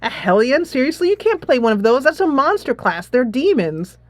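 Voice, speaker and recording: A woman's voice: high-pitched, very animated, moderate pace, no room sound, voice pushed up. A woman reading a pompous, indignant teenage boy gamer in a video game, huffy and dismissive. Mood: incredulous. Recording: music playing behind.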